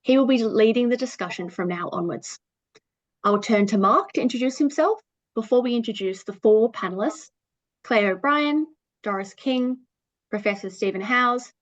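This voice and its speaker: A woman co-chairing a webinar, opening it in a measured, formal way. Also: mid-range voice, no echo, highs cut off.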